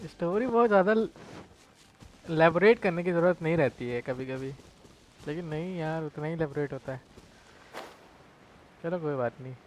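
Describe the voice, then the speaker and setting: A man's voice: fairly high pitch, rough recording. A man giving casual game commentary, complaining in an exasperated way. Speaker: gaming streamer.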